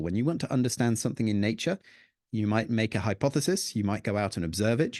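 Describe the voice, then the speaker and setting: A man giving an illustrated talk on the history of the northern lights, telling it as a lively story with playful asides. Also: lowish voice, dry recording, clean studio sound. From Danish heritage. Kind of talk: illustrated presentation with slides.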